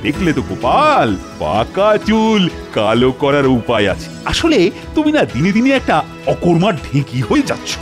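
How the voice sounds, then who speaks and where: A man's voice: on the high side, huge pitch swings, normal speed, music in the background. A man playing a vain, anxious middle-aged king in a comedy cartoon, dramatic, fussy and commanding in manner. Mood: eager, scolding. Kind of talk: Bengali comedy cartoon voice acting.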